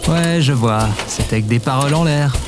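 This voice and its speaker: A man's voice: medium pitch, moderate pace, music in the background, close to an everyday voice. A man playing a laid-back, wry adventurer in an audio comedy, casual and a little hesitant. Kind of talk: audio fiction comedy acting. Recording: outdoor ambience, birds and insects.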